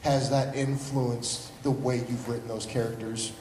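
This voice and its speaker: A man's voice: mid-range voice, little pitch movement, a little slow, darkish tone. A man asking a carefully phrased question from the audience at a convention panel. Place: large indoor convention panel room. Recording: echoey reverberant hall, light noise.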